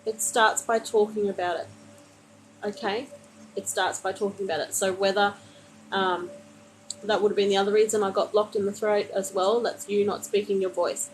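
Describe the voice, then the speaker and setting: A woman's voice: medium pitch, flat delivery, moderate pace, faint music behind, rough recording. A woman reading tarot cards for a viewer during a live stream, soothing and meandering, calling the listener honey, speaking into a phone mic. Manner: meandering, many fillers and restarts. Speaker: tarot card reader.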